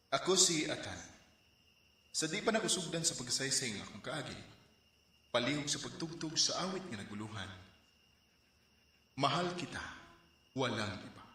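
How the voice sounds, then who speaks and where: Atan, an earnest young boyfriend. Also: male voice, medium pitch, big pitch swings, normal speed, pauses often, bright, forceful tone. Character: Atan, young man from the city, eager boyfriend. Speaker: radio drama actor. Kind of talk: radio drama narration and dialogue. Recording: very echoey room, faint hiss.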